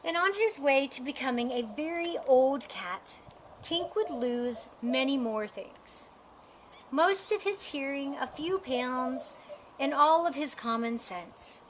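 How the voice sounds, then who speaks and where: High-voiced woman reading a children's story slowly. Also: a little hiss or hum. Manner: expressive, deliberate storytime delivery. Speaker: storyteller reading a picture book. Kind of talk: scripted children's story narration.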